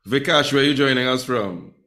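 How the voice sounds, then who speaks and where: A man runs a live online Spanish class. He talks playfully, teasing his students and calling on them by name. His voice sits at an ordinary, mid-range pitch. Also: pushed and bright. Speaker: Spanish teacher.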